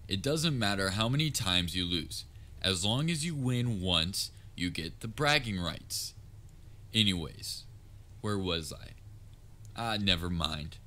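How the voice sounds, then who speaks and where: A very low-voiced, theatrical supervillain played by a man with a crisp, forceful edge. He is pompous and dryly sarcastic, a grand nemesis from a comedic audio roleplay who secretly cares about his rival. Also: lively intonation, slow pace, full character voice. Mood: irritated, dismissive. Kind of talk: scripted audio roleplay, comedic villain monologue.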